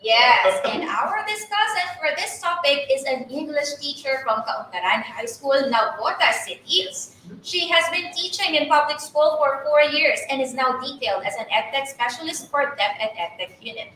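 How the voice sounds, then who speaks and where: High bright Filipino woman hosting. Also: normal speed, very echoey, far from the mic, a little hiss or hum, low-quality recording. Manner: lively, code-switches between English and Tagalog. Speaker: co-host of a teacher training webinar. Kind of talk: bilingual webinar hosting, reading introductions.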